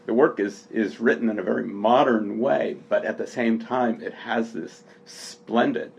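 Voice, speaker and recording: A man with a strong vocal fry talks thoughtfully about literature, unscripted and pausing as he builds his phrases. The recording is muffled and lo-fi, with faint noise behind him. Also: lowish voice, steady tone. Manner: halting, deliberate, thoughtful phrasing. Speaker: speaker discussing a book at a literary event. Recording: dull, lo-fi sound with faint noise.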